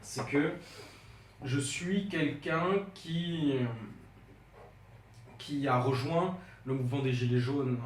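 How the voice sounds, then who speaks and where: A man's voice: medium pitch, fairly even intonation, slow pace, pauses often. A young man, a student guest on a casual podcast, talking in a thoughtful, wry way. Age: young adult. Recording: echoey room, mic at a distance, faint noise.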